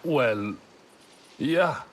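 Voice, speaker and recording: An actor playing a flustered, tipsy slave owner in a game cutscene, talking in a loose, excitable ramble. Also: male voice, medium pitch, very slow, noisy background. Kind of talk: video game cutscene acting.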